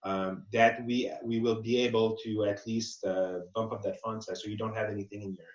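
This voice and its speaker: A man's voice: lowish voice, little pitch movement, moderate pace. A man explaining as an expert in an informal webinar Q&A, thinking aloud and rephrasing as he goes. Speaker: accessibility expert presenting a webinar. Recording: muffled, band-limited webinar audio.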